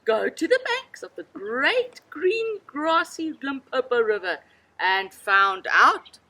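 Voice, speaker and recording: Woman doing a chirpy helpful bird, high, sing-song, storybook. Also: very animated, takes it slowly, sharp tone, full character voice.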